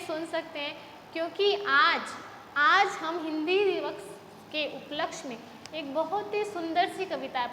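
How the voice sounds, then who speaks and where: A woman's voice: high voice, fairly bright tone, echoey room, long reverb tail, slight background noise. A woman school teacher speaking patiently to young children, explaining a topic and reading a poem line by line for the class to repeat. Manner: patient, explains slowly, addresses children as "beta"; reads poem lines slowly and repeats them for the class. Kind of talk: recorded class lesson with poem recitation.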